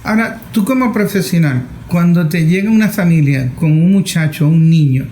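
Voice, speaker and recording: A man interviewing as a journalist, speaking conversationally in measured phrases that build into lists. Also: on the high side, dark tone. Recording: echoey room with background noise.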